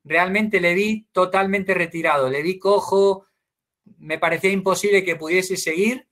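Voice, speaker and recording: A man with a high voice moderates a panel over a video call. He is lively and joking, and fires off one question after another. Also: moderate pace.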